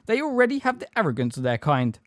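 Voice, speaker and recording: A man's voice: mid-range voice, sing-song, fairly quick, darkish tone, dry recording, clean studio sound, natural voice. A man voicing a hard, bitter grey centaur in a storybook, scornful and angry, with a bellowing delivery. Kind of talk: audiobook character acting.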